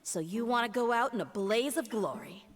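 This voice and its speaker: A woman as a brash, higher-voiced mercenary fighter. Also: lively intonation, moderate pace, close to an everyday voice. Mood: taunting. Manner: taunting, cocky. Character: tough, brash, fearless female mercenary fighter. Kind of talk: game voice acting.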